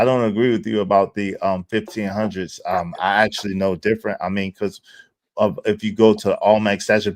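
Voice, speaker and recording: A man hosting an online talk stream, speaking casually with many fillers and quick rising emphasis. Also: on the low side, no room sound. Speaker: host.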